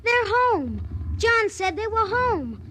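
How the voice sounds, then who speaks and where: An actress playing a distraught, plaintive young child in a 1950s radio drama. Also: female voice, extremely high pitch, very animated, slow, fairly bright tone, full character voice. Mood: desperate pleading. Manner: plaintive, insistent. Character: distraught young settler child pleading for the aliens. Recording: noisy old radio recording.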